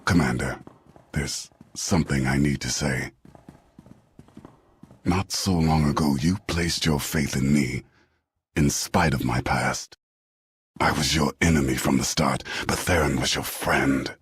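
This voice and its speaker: A man playing a grave former enemy turned devoted ally in a video game, solemn, measured and earnest, with a husky voice. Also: rumbling low, no echo, exaggerated voice. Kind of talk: dramatic video game acting.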